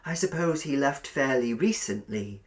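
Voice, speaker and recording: Man playing a polite, earnest teenage boy, lively pitch. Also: moderate pace, lightly acted. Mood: modest, hesitant. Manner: polite, hesitant. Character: polite, earnest seventeen-year-old boy, mildly puzzled. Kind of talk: audiobook character acting.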